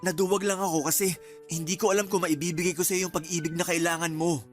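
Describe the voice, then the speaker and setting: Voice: mid-range voice, little pitch movement, fast, natural voice. An actor playing a desperate young man, pleading and insistent, in a Filipino radio drama. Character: desperate, pleading young man torn between two women. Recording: music bed under the voice.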